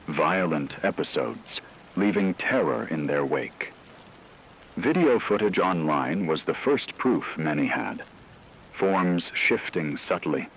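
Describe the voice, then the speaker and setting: Male narrator, deep register, grave and measured horror radio chronicle, light rainy static underneath. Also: a bit bright, poor audio.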